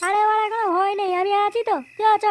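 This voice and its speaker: A man voicing a cocky, pushy gang member who curses brashly in a comedy cartoon, with an artificially pitched-up voice. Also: little pitch movement, quick pace. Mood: cocky, urging. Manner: brash, slangy cursing.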